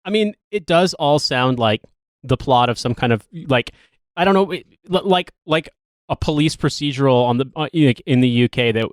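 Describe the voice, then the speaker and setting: A man's voice: medium pitch, animated, moderate pace, smooth, dry recording. A man hosting a podcast, talking casually about politics in a rambling, wry and sweary way. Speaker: podcast host. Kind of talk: casual, joking political discussion.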